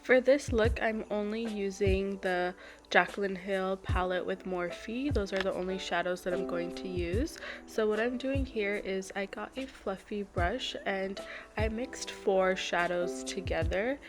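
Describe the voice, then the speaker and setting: A congested, nasal-sounding young woman talks through her makeup routine in a chatty, step-by-step way. Her voice sits at an ordinary middle pitch. Clear background music plays under her voice-over. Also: unhurried. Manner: chatty, apologetic, step-by-step explaining. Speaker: beauty vlogger.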